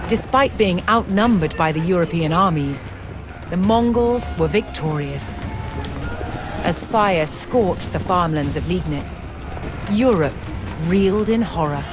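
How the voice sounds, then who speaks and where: A woman reading scripted documentary-style narration in a measured, solemn and dramatic storytelling manner. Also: on the low side, expressive. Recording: music behind the voice.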